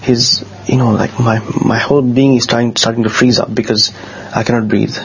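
A man with a bassy low voice, telling a personal story in a casual, earnest way, often halting and repeating words. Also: little pitch movement. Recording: dull lo-fi sound with faint noise.

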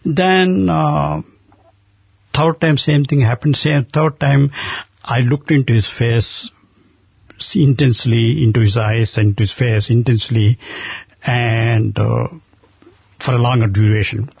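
Older indian guy telling stories, gravelly and husky, slow earnest restarts, dry dull recording. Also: medium pitch, steady tone, dry recording. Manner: earnest, halting, many restarts. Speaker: guest. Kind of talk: conversational storytelling. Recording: dull muffled lo-fi sound.

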